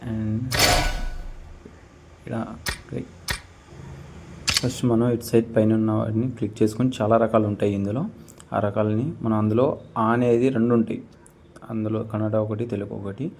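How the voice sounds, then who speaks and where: A man's voice: on the low side, little pitch movement, normal speed, pauses often, a little mellow, a little hiss or hum. A young man giving a casual step-by-step gaming tutorial as host.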